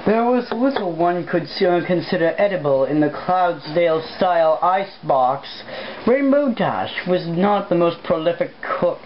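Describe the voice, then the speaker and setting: A woman's voice: on the low side, animated, normal speed, highs cut off, slight background noise. A woman casually reading a story aloud as an amateur, informal and hesitating mid-sentence. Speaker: amateur fan fiction reader.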